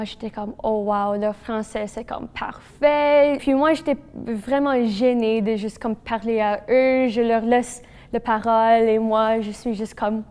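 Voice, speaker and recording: A woman's voice: fairly high pitch, darkish tone, clean tone. A woman from Manitoba giving a casual, thoughtful personal testimony. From Manitoba, Canada. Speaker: interviewee. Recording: echoey room.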